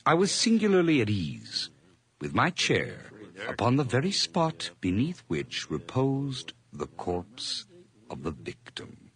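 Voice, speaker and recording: A man's voice: mid-range voice, wildly swooping pitch, takes it slowly, exaggerated voice. An actor playing a nervous, over-polite hired man hiding guilt, stammering and effusive in an old radio horror drama. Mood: haunted narration.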